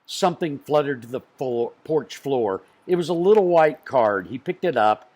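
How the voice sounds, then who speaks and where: A man reading a children's storybook aloud in an expressive way, with dramatic pauses. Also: mid-range voice. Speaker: storybook reader.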